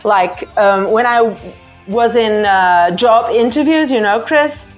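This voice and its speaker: A woman's voice: a little high, takes it slowly, dull audio, soft background music. A Portuguese woman in her forties hosting a podcast, chatting casually with hesitant fillers. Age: 40s. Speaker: podcast host. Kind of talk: casual chat.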